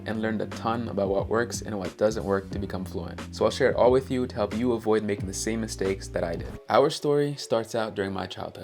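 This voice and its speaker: A man's voice: lowish voice, vocal fry. A young man from Haiti whose first language is Haitian Creole, telling his own story in an earnest, upbeat scripted narration. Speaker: language-learning YouTuber.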